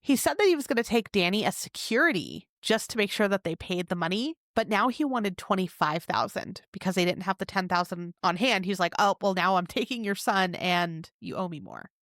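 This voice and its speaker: A woman co-hosting a podcast, telling a crime case in a chatty way with quick asides. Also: medium pitch, lively intonation, fairly bright tone, no echo, clean studio sound. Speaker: podcast co-host. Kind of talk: casual true crime chat.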